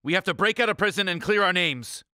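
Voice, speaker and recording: A grumpy uptight cartoon alien boss, really high sharp voice, exasperated and pompous. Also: male voice, lively intonation, fast talker, dry recording, studio quality, full character voice. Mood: pompous, insistent. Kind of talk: animated cartoon game voice acting.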